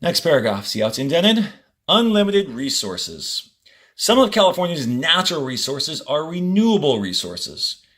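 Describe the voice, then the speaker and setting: A man's voice: on the high side, big pitch swings, fairly bright tone. Male teacher reading aloud step by step. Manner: deliberate, step-by-step explaining with short directives. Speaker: fourth-grade teacher. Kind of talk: instructional read-aloud of a textbook to pupils.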